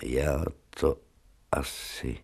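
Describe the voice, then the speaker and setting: An actor playing an authoritative, brooding and eccentric professor-surgeon in a radio drama, with a commanding, theatrical manner. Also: male voice, rumbling low, level tone, vocal fry, a little mellow, husky, heavily acted. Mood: brooding, hesitant.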